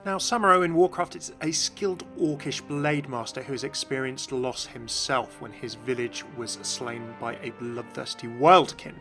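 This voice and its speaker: A man's voice: mid-range voice, fairly even intonation, normal speed, hardly any pauses, bright, forceful tone, soft background music. A man hosting a gaming video with scripted, explanatory narration. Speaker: video host.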